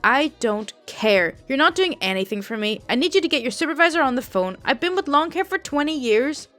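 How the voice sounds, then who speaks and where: A belligerent old lady on the phone with a smoker's voice, loud and demanding, hurling insults, as a woman puts her on. Her voice sits fairly high, and faint music plays underneath. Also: animated, a little fast. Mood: angry, insulting. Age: older. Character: rude elderly woman caller, smoker, belittling.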